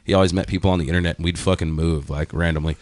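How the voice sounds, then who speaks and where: A man's voice: low-pitched, fairly even intonation, a little mellow, dry recording. A man, a rapper telling stories in a casual podcast interview, cursing freely in a laid-back way. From Texas. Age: 30s. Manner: laid-back storytelling, heavy cursing, quick laughs. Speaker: guest rapper.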